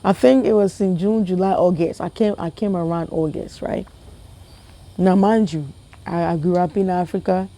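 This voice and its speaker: A young woman from Ghana telling a funny personal story to her viewers in a casual, animated way, often repeating herself and laughing. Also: on the low side, moderate pace. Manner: animated, repetitive, laughing, talks to viewers as family. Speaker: vlogger.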